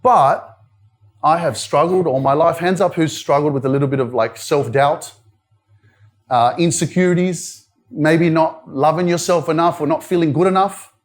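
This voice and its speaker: A man's voice: a little high, normal speed. A man with an Australian accent giving a casual, direct motivational talk at a live indoor event, chatting with the crowd and swearing now and then. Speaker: motivational speaker.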